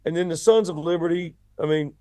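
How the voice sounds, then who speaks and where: A man with a raspy voice, a rock singer chatting casually as an interview guest, often repeating words as he starts his sentences. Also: on the high side, a little fast, mellow, dry recording. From United States.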